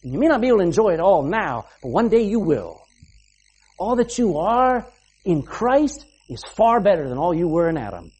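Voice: mid-range voice, big pitch swings, moderate pace, darkish tone, breathy edge. A preacher giving an emphatic sermon, asking the listeners rhetorical questions and punctuating points with "amen".

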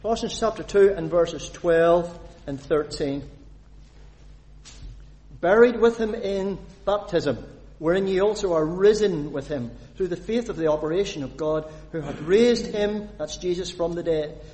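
Lively preacher from Northern Ireland. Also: male voice, high-pitched, a little slow. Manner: animated, lively audience questions, reads scripture aloud. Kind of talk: sermon. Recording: long hall reverb.

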